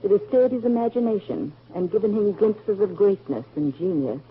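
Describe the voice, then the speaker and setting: An actress playing a thoughtful grown woman in 1940s American radio drama, reciting in a measured, poetic way. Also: mid-range voice, animated, a little hiss or hum, natural voice. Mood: reflective, reverent. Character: thoughtful grown woman Hazel of a family saga. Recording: muffled old 1940s radio recording.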